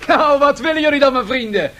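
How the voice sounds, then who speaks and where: An actor playing a confident, defiant tycoon in a 1970s radio drama, theatrical and self-assured. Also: male voice, extremely high pitch, a little fast, a bit bright, lo-fi sound, soft background music. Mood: breezily defiant. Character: confident, defiant male industrial tycoon and financier.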